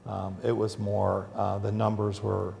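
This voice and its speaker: A man from the Chicago area, a factory owner, talking deliberately in an interview with frequent ums and uhs. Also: on the low side, monotone, vocal fry, darkish tone, in a large hall, a little hiss or hum. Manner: deliberate, with many fillers. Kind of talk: interview soundbite.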